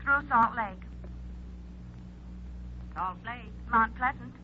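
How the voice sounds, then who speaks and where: A woman playing a brisk, impersonal American long-distance operator in a 1940s radio drama, reciting routine phrases over a telephone line. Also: a little high. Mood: routine, businesslike. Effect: telephone filter. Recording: tinny phone line, humming, noisy.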